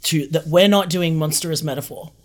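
A woman's voice: sits low, brisk. A woman talking casually as an interview guest, candid and emphatic in making points. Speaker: interview guest, audio drama creator.

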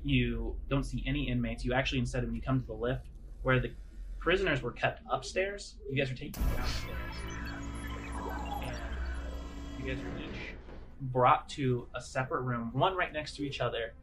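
A man acting as game master, describing scenes in a halting, conversational, improvised way. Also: medium pitch. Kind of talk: improvised tabletop game narration. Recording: music playing under the voice. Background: background music.

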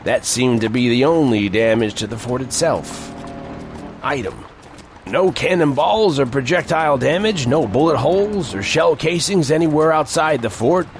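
An actor playing an older male reporter who narrates a strange frontier tale in an emphatic, deliberate storytelling manner. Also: medium pitch. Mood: grave. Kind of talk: dramatic scripted first-person narration. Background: music bed.

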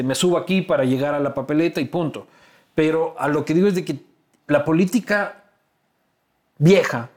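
A man's voice: mid-range voice, darkish tone. A man with an Ecuadorian accent hosting a casual, slangy interview, probing and teasing, often circling back over his words as he forms a question. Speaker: interviewer, host.

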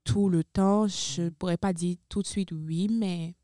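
A young woman from Benin chats as a radio guest, her voice low-pitched, mellow and smooth. She often hesitates and corrects herself mid-sentence. The sound is dry and close. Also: fairly even intonation, unhurried, no echo. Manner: chatty, frequent hesitations and self-corrections. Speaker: guest, university international student services supervisor. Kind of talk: casual radio interview.

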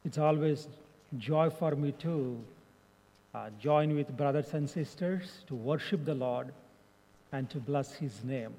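A man's voice: fairly high pitch, mellow. Indian man speaking in a reverberant church. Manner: halting, frequent fillers. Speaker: missionary. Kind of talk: church mission report. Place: church sanctuary.

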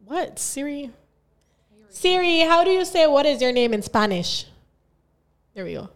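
A woman's voice: sits high, sing-song, a little slow. A young woman from Barbados with a Bajan accent, hosting a casual, playful podcast chat with a friend and rambling between giggles. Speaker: podcast host.

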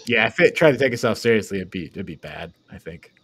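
A man chatting casually as a podcast co-host, haltingly thinking aloud through a review. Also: fairly low pitch, lively intonation, a bit bright, slightly rough.

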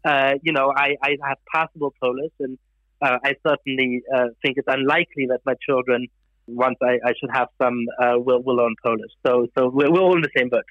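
A man, an author raised in Germany, explaining his views in a panel discussion in a measured way, with frequent fillers and restarts. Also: mid-range voice, steady tone, dry recording, dull audio. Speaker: author and guest.

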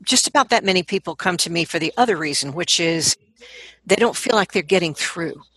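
A middle-aged woman, a vocal coach, explaining things conversationally as an interview guest, in an animated manner. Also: lowish voice, fairly quick, slightly rough, no room sound, low-quality recording.

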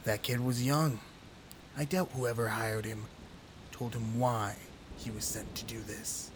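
A man playing a gruff, terse, threatening ex-detective in an audio drama. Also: mid-range voice, fairly even intonation, unhurried, a little hiss or hum, close to an everyday voice. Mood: brisk, matter-of-fact. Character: gruff, threatening, hard-boiled male ex-detective.

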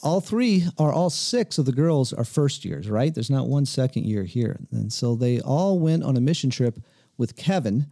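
A man hosts a casual interview around a small table in a cabin living room. His voice is very dark and mellow, and it sits in a dry, close sound. He talks in a relaxed, rambling way and often repeats his words. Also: medium pitch, normal speed, vocal fry, dry recording. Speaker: host. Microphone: table mic.

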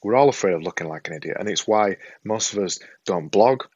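A man's voice: on the low side, expressive, a little fast, a little mellow, breathy edge, no room sound, dull audio. A man with a Yorkshire accent, talking to camera at home in a casual, earnest, self-deprecating way, often repeating himself for emphasis. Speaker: vlogger and podcaster. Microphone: desktop setup with a camera and a monitor.

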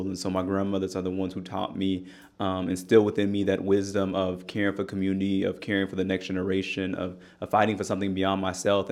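A young man from the Bay Area speaks as an interview guest in a very deep voice. His delivery is flat and even, earnest and steady, and he strings his thoughts into long, flowing sentences. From California Bay Area. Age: young adult. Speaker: political candidate and organizer.

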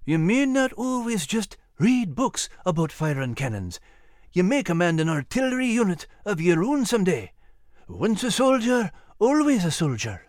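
A man's voice: high-pitched, big pitch swings, normal speed, dry recording, studio quality, voice pushed up. A man voicing a kindly, grave old Scottish sergeant in a storybook, with folksy old-fashioned soldierly phrasing. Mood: knowing, fond.

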